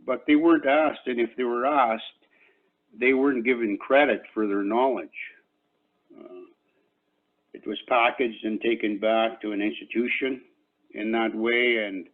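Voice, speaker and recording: An older man whose first language is Cree, an Elder from Canada, giving a reflective talk to an online panel in a slow, measured way with many pauses. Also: medium pitch, fairly even intonation. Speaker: Elder and invited speaker. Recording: tinny phone-like call audio.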